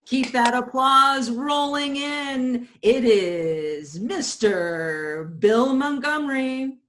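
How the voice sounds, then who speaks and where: A woman's voice: sits high, expressive, extremely slow, a bit bright. A woman acting as MC, introducing performers with an enthusiastic, showy delivery. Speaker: MC of a stage event. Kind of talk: introducing performers at a live event.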